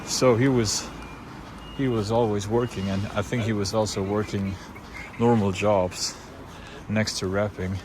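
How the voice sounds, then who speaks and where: Young Swiss man, Swiss German accent, slightly low voice, chatty vlogger, noisy car recording. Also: flat delivery, a little slow. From Switzerland. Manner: loose, unscripted, many fillers and restarts. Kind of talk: casual rambling monologue.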